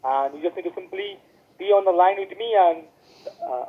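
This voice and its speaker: A man cold-calling as a scam caller, pushing a scripted pitch and spelling letters out slowly over a phone line. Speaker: scam caller posing as support.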